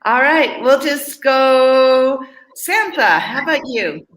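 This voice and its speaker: A woman's voice: high-pitched, lively intonation, takes it slowly, a bit bright. A woman from Oregon, a casual moderator and English coach, chatting relaxedly with self-corrections over a video call. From Oregon, United States. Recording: echoey room with faint noise.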